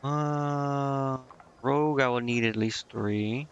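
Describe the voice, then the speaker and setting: A man's voice: medium pitch, takes it slowly, dull audio, slight background noise. A man thinking aloud in casual, indecisive gameplay commentary, muttering to himself as he streams. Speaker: game streamer.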